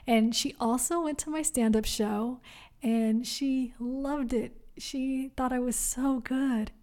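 Young woman, podcast host, mellow and a bit high, unhurried and warmly encouraging. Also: fairly even intonation. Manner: soft-spoken, gentle and encouraging, with many pauses. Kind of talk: solo wellness podcast talk.

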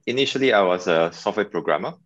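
A man in his forties describes his work in a plain, matter-of-fact manner. His voice is deep and low. The audio is dull and lo-fi. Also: lively intonation, fast, fairly bright tone. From Malaysia. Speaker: event planning company owner, podcast guest. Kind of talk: casual interview answers.

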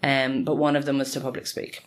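A woman's voice: very deep voice, little pitch movement, brisk. A woman in her forties answering interview questions earnestly, often laughing and restarting her sentences. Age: 40s. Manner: earnest, frequent laughs, self-correcting. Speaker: guest, tech support lead.

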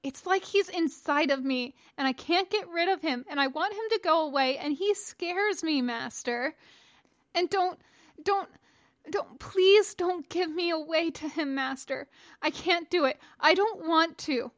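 A woman's voice: measured pace, no room sound, clean studio sound. A woman voicing a frightened young boy apprentice who sobs and pleads with his master. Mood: pleading. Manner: tearful, pleading, high and pressing.

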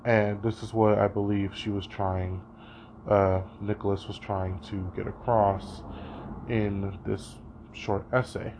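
A man's voice: on the low side, flat delivery, takes it slowly, gravelly, darkish tone, slight background noise. A young man talking through his thoughts as a student, hesitant and thinking aloud, with many "you know" fillers. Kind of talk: spoken reading reflection.

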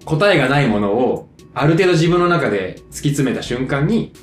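A man chatting casually as a podcast co-host, animated and explaining things with vivid sound words. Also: medium pitch, moderate pace, reverberant, faint music behind. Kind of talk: casual chat.

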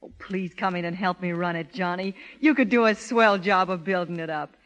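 A woman's voice: lowish voice, moderate pace, fairly bright tone, natural voice. A woman playing a brassy, street-smart numbers racketeer with wisecracking, bossy 1930s radio delivery, on a vintage recording. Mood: coaxing.

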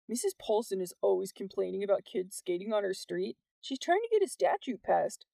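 A woman's voice: medium pitch, big pitch swings, brisk, gravelly, mellow, no echo, full character voice. A woman narrator gives voice to a fifteen-year-old boy in an audiobook novel. He is laid-back and nonchalant. His delivery is casual, like everyday talk among classmates.

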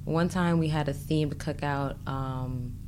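A chatty American woman with a low-pitched voice, talking casually as a guest on a podcast. Her delivery is informal and conversational, over faint background noise. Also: fairly even intonation, takes it slowly. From United States. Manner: chatty, informal, uses "like" often. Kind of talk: casual chat.

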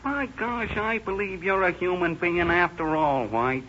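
An American actor playing a sullen, gullible young jailed criminal with slangy, plaintive talk in a 1930s radio drama. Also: male voice, sits high, unhurried, music in the background. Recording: muffled, noisy 1930s radio broadcast.

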